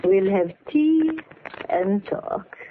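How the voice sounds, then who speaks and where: An actress playing a mysterious, seductive Russian woman painter in 1940s radio mystery style, slow and teasing. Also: medium pitch, animated, slight background noise. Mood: calm, ominous. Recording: muffled vintage radio recording, faint music.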